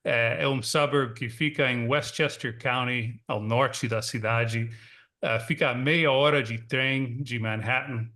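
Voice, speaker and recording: A man from Texas who speaks English natively, talking in an easygoing, chatty way with many filler pauses, with an American accent and a countryside São Paulo Portuguese lilt, on a video call from his suburban home. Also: medium pitch, fairly even intonation, sharp tone. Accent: American English with a Brazilian São Paulo countryside lilt. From Texas, United States. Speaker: guest panelist, magazine editor. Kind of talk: online panel discussion. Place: home in a small suburban town.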